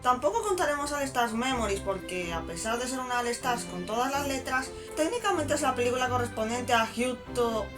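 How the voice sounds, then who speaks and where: Female, fairly high voice, scripted review narration, co-host, music clearly behind. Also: animated, a little fast, few pauses.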